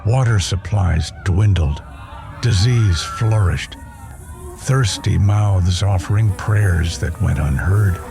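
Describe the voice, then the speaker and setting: A man giving scripted, theatrical first-person narration for an audio drama in a solemn, measured manner. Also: low voice, gravelly. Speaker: narrator and voice actor. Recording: prominent music behind. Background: music.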